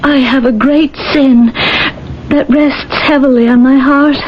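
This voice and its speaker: High-voiced anguished young bride, muffled radio. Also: female voice, steady tone. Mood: anguished, sorrowful. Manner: tearful, pleading, halting delivery. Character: anguished young bride pleading with her rabbi. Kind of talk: 1970s radio drama acting. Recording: muffled, noisy 1970s radio broadcast.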